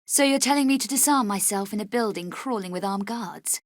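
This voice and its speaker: A woman's voice: medium pitch, animated, a little fast, no echo, lightly acted. A woman voicing a tough, sardonic female adventurer in a video game, speaking with dry sarcasm. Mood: defiant. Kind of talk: video game cutscene voice acting.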